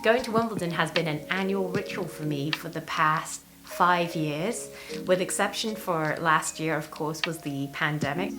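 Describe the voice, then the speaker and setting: A woman from Hong Kong with a fairly deep voice hosts a personal vlog. She tells her story in a chatty, enthusiastic style, stressing her words. Prominent music plays behind her. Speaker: vlog host. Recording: voice over prominent background music.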